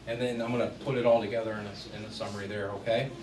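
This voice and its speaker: A middle-aged man from Washington State giving a conversational business talk to an audience, with a deep voice and many fillers. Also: little pitch movement, very fast, darkish tone. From Washington State, US West Coast. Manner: conversational, chatty, frequent fillers. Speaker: guest speaker. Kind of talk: casual business presentation. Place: event room with audience. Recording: echoey room, far from the mic, faint noise.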